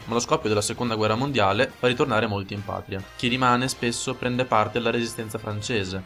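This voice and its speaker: A man's voice: on the low side, fairly bright tone. A man co-hosting a radio program, reading scripted historical narration in a formal, informative way. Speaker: radio host. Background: music under the voice.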